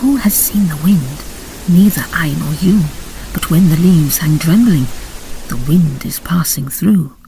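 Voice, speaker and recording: A woman giving a dramatic storybook narration, expressive and deliberately enunciated. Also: deep voice, gravelly, poor audio. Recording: faint background noise.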